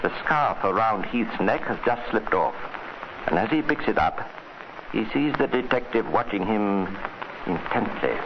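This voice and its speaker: A muffled, hissy old radio recording of a male narrator. His fairly low voice tells a scripted story with theatrical suspense, in deliberate dramatic phrases. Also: expressive, crowd noise. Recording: dull, noisy vintage radio recording.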